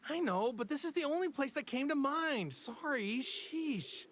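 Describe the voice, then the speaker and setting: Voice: sits high, expressive, measured pace, a bit bright, slight background noise. An actor playing a cheerful, naive martial-arts hero in an anime video game, folksy, boyish and eager. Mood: sheepish, casual. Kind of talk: English anime game dub acting. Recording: music behind.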